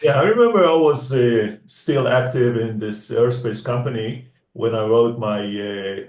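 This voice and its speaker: A man in his seventies with an Israeli accent, a podcast guest talking in a measured, precise way with dry humor. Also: mid-range voice, a little mellow, reverberant, far from the mic. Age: 70s. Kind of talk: casual interview chat.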